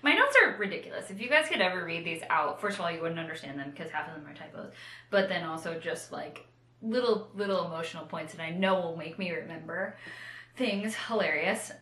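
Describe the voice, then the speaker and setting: A woman's voice: on the low side, expressive, brisk, sharp tone, roomy sound. A woman in casual, unscripted chat as co-host of a book show, rambling and self-deprecating, often slipping into acted-out quotes.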